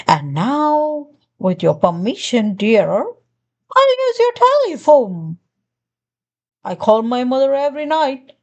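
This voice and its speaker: A woman's voice: sits high, heavily acted. A woman voicing a pushy, chattering male glunk creature who wheedles sweetly and rambles on, in a storybook reading.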